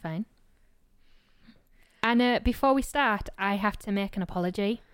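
A woman with a softened Yorkshire accent co-hosts a true-crime podcast, blunt and dry with a teasing edge. Her voice is smooth and clean. It is recorded close and dry, with no room sound. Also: mid-range voice, normal speed, dry recording. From Yorkshire, England. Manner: blunt, dry and joking, with casual swearing. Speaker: podcast co-host. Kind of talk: casual true-crime chat.

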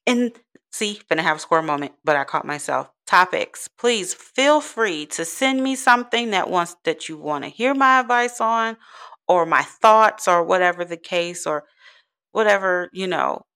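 A woman's voice: fairly low pitch, expressive, normal speed, fairly bright tone. A woman, hosting a rambling, giggly solo podcast in a soothing voice. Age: 30s. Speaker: podcast host. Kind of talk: casual solo podcast chat.

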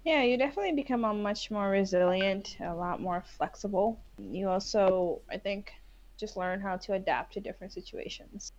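A young woman talks through her experiences in a chatty, unpolished way, often restarting sentences and filling pauses. Her pitch sits in a middle range. The recording sounds somewhat dull and lo-fi. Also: a little mellow. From Bangladesh, raised in New York City. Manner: chatty, frequent fillers and restarts. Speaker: interview guest, Peace Corps volunteer. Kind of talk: casual interview answers.

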